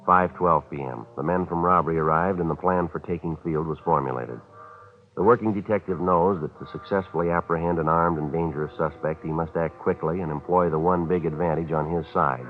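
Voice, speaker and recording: An actor playing a terse, no-nonsense police detective with a clipped, matter-of-fact delivery in old radio drama style. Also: male voice, deep voice, brisk, close to an everyday voice. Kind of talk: 1940s radio acting with scripted narration. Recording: tinny old radio recording.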